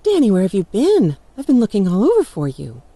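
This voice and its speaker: A woman's voice: medium pitch, wildly swooping pitch, a little fast, mellow. A woman playing a worried, protective mother in an audio storybook, with an anxious, cautioning manner. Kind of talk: audio storybook character acting.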